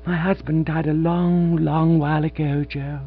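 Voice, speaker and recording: A man voicing an aging former stage actress, a reserved grande dame who turns gushing and nostalgic, with a husky voice on a dull, humming recording. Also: sits low, level tone, a little slow, voice pushed up, full character voice. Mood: tender. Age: older. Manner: quiet, emotional, gushing with nostalgia. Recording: dull lo-fi recording with mains hum.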